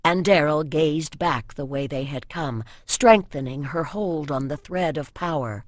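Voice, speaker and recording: Low-voiced woman narrating a fantasy audiobook, dry sound. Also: no room sound. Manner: measured literary storytelling.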